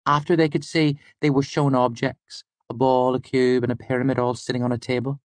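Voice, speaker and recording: A man voicing a clever, determined female student in an audiobook, explaining in a measured, insistent way. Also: medium pitch, little pitch movement, no room sound, voice pushed up, lightly acted. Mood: earnest, insistent. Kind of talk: audiobook character dialogue.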